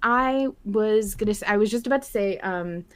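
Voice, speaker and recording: A woman's voice: mid-range voice, moderate pace, smooth, no echo. A woman hosting a podcast in a casual, conversational style. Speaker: podcast host.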